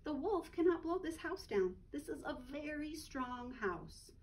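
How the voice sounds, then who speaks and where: A woman's voice: sits high, measured pace. A woman doing a brave little pig. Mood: confident. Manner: calm, confident and reassuring. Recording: distant mic.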